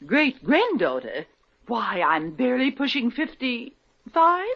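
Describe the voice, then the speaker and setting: A lonely, prickly old maid. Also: female voice, on the high side, sing-song, slow pace, vocal fry, heavily acted. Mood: dry. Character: lonely, prickly middle-aged spinster. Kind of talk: 1950s radio comedy acting. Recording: dull lo-fi old radio broadcast.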